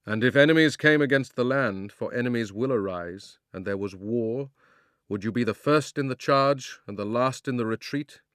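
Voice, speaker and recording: A man reading a storybook, voicing a majestic male talking lion with grave, measured, commanding speech. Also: medium pitch, a bit bright, clear, resonant tone, no echo, studio quality, close to an everyday voice. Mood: solemn.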